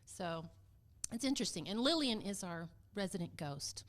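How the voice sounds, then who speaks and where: A woman's voice: mid-range voice, animated, moderate pace. Middle-aged female podcast guest, mid-range voice, casual storyteller from Texas. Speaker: podcast guest, community theater vice president of production.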